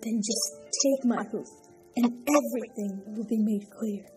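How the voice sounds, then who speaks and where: A woman's voice: on the high side, unhurried, a little mellow, soft background music, close to an everyday voice. A woman voicing a cold, coaxing female pegasus in a fan audiobook reading.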